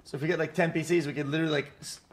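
A man's voice: a little high, fairly even intonation, very fast. A young man chatting casually during a roleplay game, rambling and hedging with slang. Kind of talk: casual gaming chat with friends.

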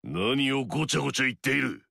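An actor playing an arrogant, battle-hungry warrior in a video game, curt and scornful with a gravelly edge. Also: male voice, mid-range voice, steady tone, fairly quick, bright, forceful tone, no room sound, clean studio sound, heavily acted. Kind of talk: video game story dialogue.

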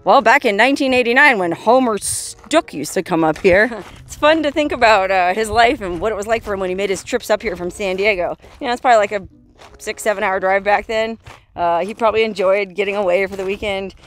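Woman in her 40s vlogging on her phone, chatty and awed, mid pitch, faint music under it. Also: a little fast. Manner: chatty, laughing, awed exclamations. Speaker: solo explorer vlogger. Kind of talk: casual exploring commentary. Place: inside an old mine and outdoors in a desert canyon. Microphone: handheld phone at arm's length.

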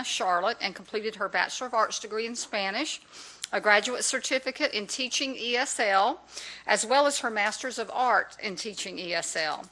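A woman's voice: medium pitch, pushed and bright, low-quality recording. A woman reading a prepared formal introduction at a public board meeting into a desk microphone in a large room. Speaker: school official introducing a teacher.

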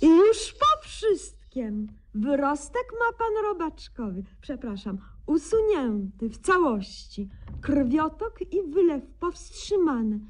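An actress playing a pompous, officious young woman doctor in a satirical radio sketch, speaking in bureaucratic phrases with deliberate diction. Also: high-pitched, big pitch swings, a little hiss or hum, exaggerated voice. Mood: brisk, smug.

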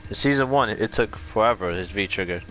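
A man's voice: fairly low pitch, brisk, music in the background, low-quality recording. A man giving a casual, unscripted gameplay explanation, rambling and correcting himself as he goes. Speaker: video game tutorial creator.

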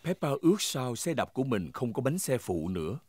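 A man's voice: mid-range voice, very animated, fairly quick, heavy background noise, natural voice. A man narrating a children's cartoon in a scripted storytelling style. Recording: cartoon music behind the narration.

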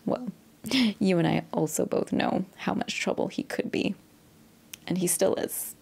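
Breathy, doting mom, played by a woman. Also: on the low side, exaggerated voice. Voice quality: breathy, often near a whisper. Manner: unhurried, affectionate, hesitant, with soft sighs and chuckles. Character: doting middle-aged mother, sweet and nostalgic. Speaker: audio roleplay performer. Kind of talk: intimate ASMR-style roleplay monologue.

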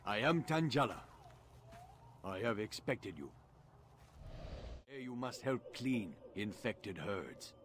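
Alien tribesman, stern, proud, sharp forceful tone, formal stilted delivery, video game. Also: on the low side, sing-song, takes it slowly, pauses often, a little hiss or hum, exaggerated voice. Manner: terse, stilted, formal phrasing. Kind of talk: dramatic video game dialogue.

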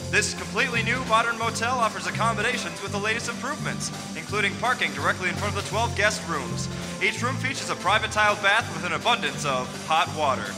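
An actor playing a chipper, polished pitchman in a vintage radio advertisement. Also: expressive, fast talker, rough recording. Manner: polished, salesman-like. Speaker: commercial announcer. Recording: loud music under the voice.

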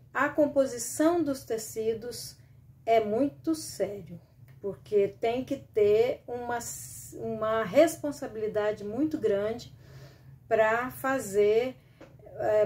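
A woman's voice: a little high, mellow. A middle-aged Brazilian woman hosting a casual sewing live stream from a small room at home, chatty and rambling with many restarts, talking into a close webcam. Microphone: webcam or laptop camera close up.

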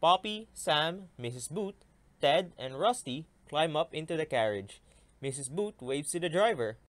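A man reading a children's story aloud, narrating in an expressive way. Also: fairly high pitch, measured pace, no echo. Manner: expressive, animated delivery of story dialogue. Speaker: storybook reader.

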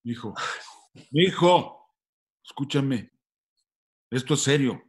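An actor in his sixties playing a stern, serious old grandfather in a deliberate way at a video-call table read. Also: mid-range voice, lively intonation. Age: 60s. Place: indoor room on a video call.

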